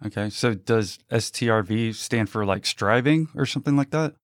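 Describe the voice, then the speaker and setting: A man's voice: lowish voice, moderate pace. A man hosting a podcast, asking casual questions in a friendly, joking way. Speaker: podcast host.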